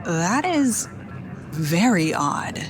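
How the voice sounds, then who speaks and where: A woman's voice: on the low side, big pitch swings, drawn-out words, noisy background, full character voice. A woman playing a firm, no-nonsense Coast Guard captain in an audio drama, authoritative and clipped. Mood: composed.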